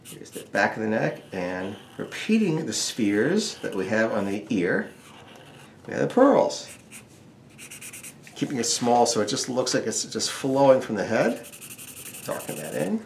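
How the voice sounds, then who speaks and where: A man's voice: mid-range voice, very animated, a little slow, vocal fry, long pauses, slight background noise. A man teaching a casual step-by-step drawing tutorial, with wry, joking asides. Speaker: drawing instructor.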